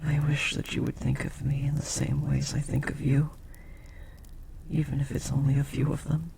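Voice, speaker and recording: Man playing a devoted demon lover, gravelly voice. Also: on the high side, monotone, normal speed, a little mellow, slight background noise. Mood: earnest, confessing. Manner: formal, earnest, hesitant and breathy. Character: devoted, lovestruck powerful demon bound to a sorcerer. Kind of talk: intimate audio roleplay acting.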